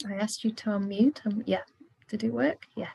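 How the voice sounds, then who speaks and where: A Buddhist nun leads a casual discussion over a video call. Her voice carries some creaky gravel, and the sound is dry with no room around it. She talks softly and easily. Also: medium pitch, moderate pace, dry recording. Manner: soft-spoken, relaxed, with gentle laughs. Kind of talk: casual online Q&A. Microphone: video call microphone.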